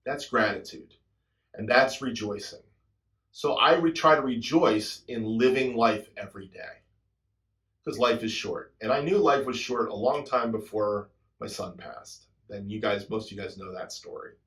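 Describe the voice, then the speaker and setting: A man in his sixties speaks to his viewers in a reflective, introspective way, unhurried and personal. His voice sits a little low, and he lets many phrases fade off softly. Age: 60s. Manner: introspective storytelling, soft trailing endings. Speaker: drummer and channel host. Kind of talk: reflective personal talk to camera.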